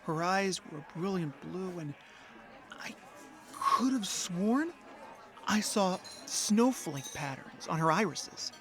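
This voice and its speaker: Casting: male actor for a lonely everyman in an audio play, high male voice, earnest, slightly hesitant, music underneath. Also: lively intonation, slow. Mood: wistful, earnest. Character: ordinary lonely young man, earnest, frustrated office worker. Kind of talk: audio drama dialogue and first-person narration.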